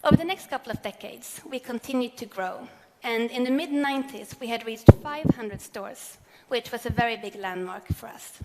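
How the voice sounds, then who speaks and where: A woman, giving a measured, earnest prepared keynote speech on stage. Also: a little high, a little hiss or hum. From Sweden. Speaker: corporate sustainability speaker. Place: large event hall on stage. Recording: long hall reverb.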